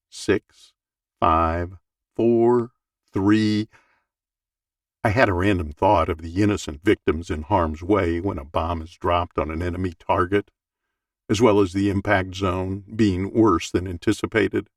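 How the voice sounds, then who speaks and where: Gravelly older man narrating, slightly off-mic. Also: on the low side, no echo, studio quality, distant mic. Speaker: audiobook narrator. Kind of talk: expressive audiobook narration of a humorous first-person essay. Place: small dark home recording booth, dry.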